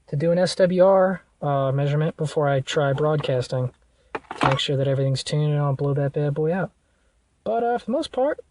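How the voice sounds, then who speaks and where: A man giving a casual hands-on how-to in an informal, halting way, thinking aloud as he goes. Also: fairly high pitch, darkish tone, slight background noise. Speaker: vlogger showing a car radio install.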